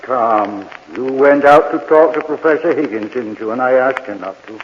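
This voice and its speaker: An actor playing a kindly, regretful old morgue attendant in a 1940s radio mystery. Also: male voice, mid-range voice, normal speed, lightly acted. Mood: gentle reproach. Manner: regretful, ominous, repeating himself. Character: kindly, sorrowful old morgue attendant who talks to the dead. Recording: muffled, noisy old radio recording with reverb.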